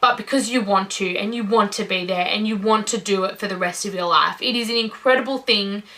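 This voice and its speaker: A woman's voice: mid-range voice, a little fast, bright, forceful tone. A nineteen-year-old Australian woman in her first vlog, talking casually to camera in an upbeat, gushing way. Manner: upbeat, gushing, says "incredible" and "you know" often. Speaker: vlogger.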